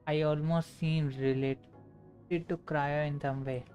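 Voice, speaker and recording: A man's voice: fairly high pitch, level tone, slow pace, darkish tone. A man with an Indian accent, a native Hindi speaker, commenting live on a game and reading dialogue aloud. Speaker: game livestreamer. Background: game music.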